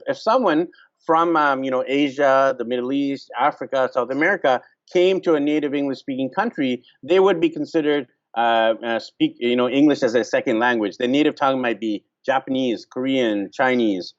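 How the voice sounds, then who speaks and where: Man talking, dull recording. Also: mid-range voice. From Vancouver, Canada. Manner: many fillers, pauses to restart sentences. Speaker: host. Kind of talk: casual explanatory talk.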